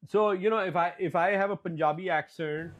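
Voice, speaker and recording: A man's voice: high voice, a little fast, muffled recording, a little hiss or hum. A man hosting a panel discussion, reflective and mixing in Hindi. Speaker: podcast host.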